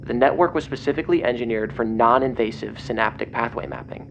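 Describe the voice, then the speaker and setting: A man playing an agitated investigator in an audio drama, speaking in a grave, halting, intense way over a phone. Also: medium pitch, natural voice. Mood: grave reading. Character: agitated male investigator relaying grim secret documents by phone. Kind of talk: audio drama acting, partly reading documents aloud. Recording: dull lo-fi phone call, clear noise.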